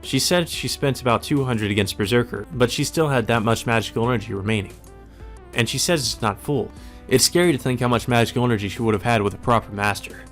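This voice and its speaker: A man's voice: mid-range voice, fast talker, fairly bright tone. A man reading a visual novel aloud as a let's player, mixing plain narration with casual, wry asides. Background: music.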